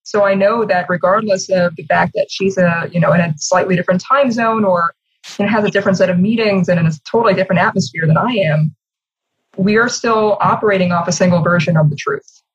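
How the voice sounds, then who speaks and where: A woman speaks as an executive guest in a conversational interview, articulate and emphatic. Her voice has a husky grain, and it comes through slightly muffled, as on an internet call. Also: fairly low pitch, a little fast. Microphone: video call.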